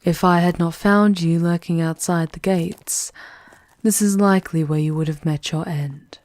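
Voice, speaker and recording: A woman's voice: fairly low pitch, fairly even intonation, darkish tone, dry recording, natural voice. A woman playing a regal, world-weary demon queen who guides a wanderer in a formal, intimate ASMR roleplay, often sinking towards a whisper. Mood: calm, guarded. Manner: measured, formal, dropping into breathy whispers.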